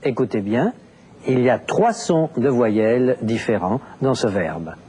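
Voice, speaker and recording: A man narrating a language lesson, explaining deliberately with very clear enunciation. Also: mid-range voice, lively intonation, dark tone. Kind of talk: scripted teaching narration.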